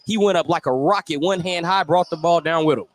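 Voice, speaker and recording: Male radio basketball announcer, high-pitched, descriptive play-by-play, clear gym noise in the background. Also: brisk. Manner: detailed, descriptive calling, shouting on big plays. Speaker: play-by-play radio sports commentator. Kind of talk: live basketball play-by-play commentary. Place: indoor basketball gym, courtside broadcast. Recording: loud gym noise behind commentary. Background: loud ambient game noise.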